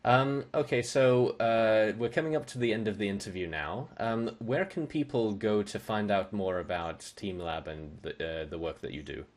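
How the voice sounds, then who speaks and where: A man's voice: lowish voice, moderate pace, few pauses. A man from Singapore asking questions conversationally as a podcast interviewer. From grew up in Singapore.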